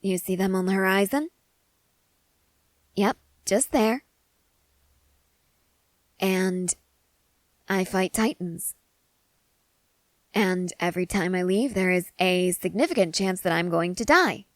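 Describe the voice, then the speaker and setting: An eager, intensely curious scientist-soldier , played by a woman, in an ASMR roleplay. The voice sits at a middle female pitch and talks in wordy, analytical tangents. The recording is dry and free of room sound. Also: slow, long pauses, dry recording, lightly acted. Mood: earnest, intrigued. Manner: analytical, rambling, wordy and precise. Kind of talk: scripted ASMR character roleplay.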